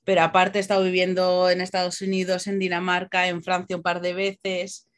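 A woman's voice: lowish voice, a little fast. A woman from the Basque Country, telling personal stories casually as a podcast guest, acting out bits of dialogue. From Basque Country, Spain. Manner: chatty, animated retelling with quoted dialogue.

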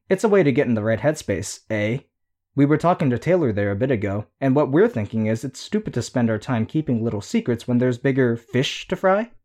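A man reading a mischievous, sarcastic teenage girl in an audiobook, voice lifted higher. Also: warm tone. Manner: sarcastic, teasing.

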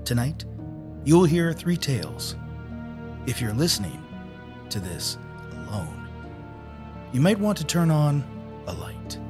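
A man's voice: medium pitch, sing-song, slow, long pauses. A man hosting a radio mystery show, reading a spooky scripted introduction over music. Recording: music behind the voice.

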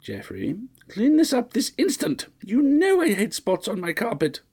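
Man putting on a shrill old society lady. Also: huge pitch swings, measured pace, vocal fry, darkish tone, husky, exaggerated voice. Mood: imperious. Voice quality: shrill, grating. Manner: imperious, nagging. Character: shrill fussy old rich woman.

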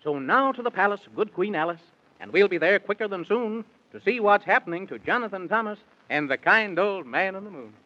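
A man's voice: high-pitched, expressive, fairly quick, bright, forceful tone. A man narrating a 1930s children's radio story, partly in rhyme. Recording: dull vintage 1930s radio recording.

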